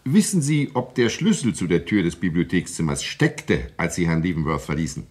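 A man's voice: deep voice, animated, normal speed, darkish tone. A man playing a commanding coroner in a German radio crime drama, formal and insistent in his questioning. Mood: formal, businesslike. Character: commanding male coroner questioning witnesses at an inquest.

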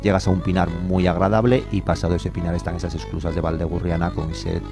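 A man speaks in a very low, gravelly voice. He talks quickly and chattily, like a guest educator enthusiastically sharing tips on a radio show, with clear music behind him. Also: little pitch movement. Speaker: environmental educator, guest contributor. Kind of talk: informal radio chat recommending walks.

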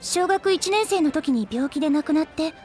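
A woman voicing a gentle teenage schoolgirl who recounts a childhood friend's story softly and earnestly. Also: sits high, expressive, moderate pace, faint music behind. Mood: worried. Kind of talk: anime drama CD acting.

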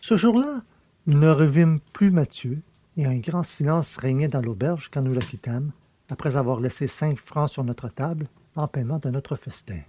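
A man reading scripted literary narration as an audiobook narrator, with a mellow, slightly husky voice. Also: medium pitch.

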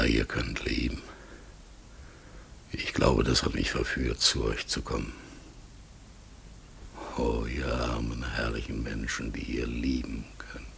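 A sad outcast raven for a radio play, super deep and croaky, really slow n solemn. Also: male voice, a bit bright, slight background noise, exaggerated voice. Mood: sad, wistful. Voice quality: gravelly, husky, breaking into whispers.

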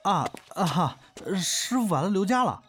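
A man playing a candid, easygoing young performer in an audio drama, speaking colloquially and haltingly. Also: high-pitched, sing-song, fairly bright tone, breathy edge, soft background music, heavily acted. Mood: awkward, hesitant. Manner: colloquial, halting, hedging speech. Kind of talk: modern Chinese audio drama acting, naturalistic dialogue.

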